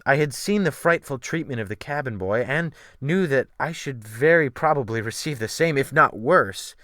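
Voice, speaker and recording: A man giving a theatrical, expressive first-person dramatic reading of a literary sea tale as an audiobook narrator. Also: mid-range voice, moderate pace, dry recording, clean studio sound. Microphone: USB desktop microphone.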